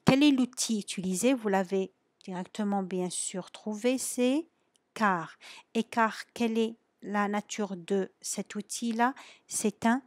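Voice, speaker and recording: A woman's voice: medium pitch, a little slow, darkish tone, no room sound. A woman teaching a French grammar lesson. She asks her students questions and answers them herself, stressing the key words. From Algeria.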